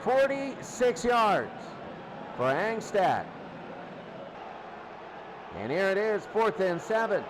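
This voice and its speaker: A high-voiced man gives live football play-by-play. He speaks in short, clipped phrases as the action moves. The recording is a noisy, low-fi broadcast. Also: very animated, slow, long pauses. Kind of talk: live sports commentary.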